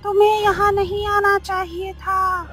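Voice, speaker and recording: Shrill, slow, gloating witch. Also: level tone, music in the background. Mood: menacing, gloating. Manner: taunting, drawn-out singsong threats. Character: menacing, gleeful female witch ghost of the sea. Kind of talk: Hindi horror cartoon voice acting.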